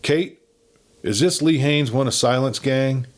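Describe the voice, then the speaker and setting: A man's voice: medium pitch, a little slow, pitched above the performer's own voice, natural voice. Man playing a proud, stern old cattleman. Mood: grave, questioning. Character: stoic, proud old cattleman, a protective father.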